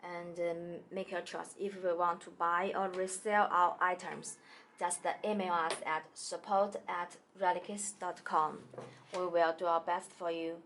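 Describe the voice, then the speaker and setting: A woman demonstrating a product in a small indoor studio, talking haltingly with many fillers and restarts. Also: lowish voice, flat delivery, background noise. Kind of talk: hesitant product tutorial.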